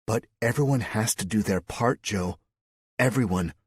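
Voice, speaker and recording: Male narrator doing a raised-pitch, bright-voiced woman rallying the group, storybook reading. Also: normal speed, husky, dry recording. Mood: eager. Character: eager middle-aged woman resistance organizer.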